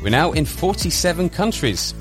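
A man's voice: a little high, sing-song, moderate pace, music in the background. A man hosting a podcast, reading a scripted intro, then asking friendly, somewhat hesitant questions. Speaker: podcast host. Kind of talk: podcast interview.

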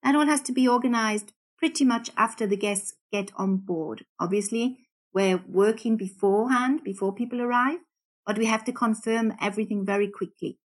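A woman in her fifties from England with a softened Geordie accent, a cruise director chatting as a podcast guest. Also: a little high, moderate pace. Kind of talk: podcast interview.

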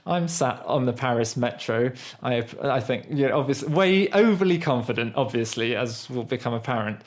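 A man's voice: mid-range voice, lively intonation, moderate pace. A young British man with a British accent talks in an easy, conversational way. He tells rambling, self-deprecating stories full of restarts and asides, like a co-host of a chat podcast. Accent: British English. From Britain. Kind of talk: casual conversational storytelling.

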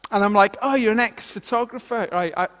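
A man, a former vicar, giving a sermon that opens with a self-deprecating personal story told in an animated way. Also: high voice, brisk, big-room sound.

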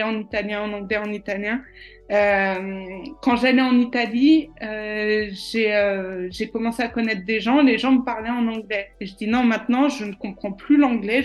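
A woman guest in a podcast interview, telling her story casually, with lots of hesitations and restarts. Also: on the high side. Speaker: nutritionist guest.

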